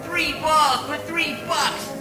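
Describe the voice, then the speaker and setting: An actress playing a brash, foul-mouthed dunk-tank clown who jeers and insults in an audio play. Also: female voice, high voice, fairly even intonation, background noise. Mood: jeering. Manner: jeering, insulting, drawn-out taunts. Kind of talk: theatrical spoken word audio play. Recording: echoey, distant, loud music over voice.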